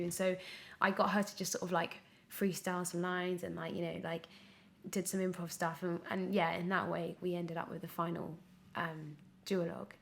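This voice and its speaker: A woman in her twenties chatting casually as an interview guest, candid and animated, often correcting herself mid-thought. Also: on the low side, creaky. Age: 20s. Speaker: guest, young actor.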